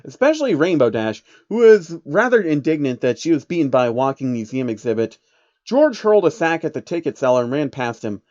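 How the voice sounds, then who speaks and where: A man's voice: a little high, big pitch swings, brisk, a little mellow, dry recording. A man narrates a comic story in a casual, irreverent way, dropping in sweary asides. The sound is close and dry, slightly dull and lo-fi. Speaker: narrator of online fan fiction.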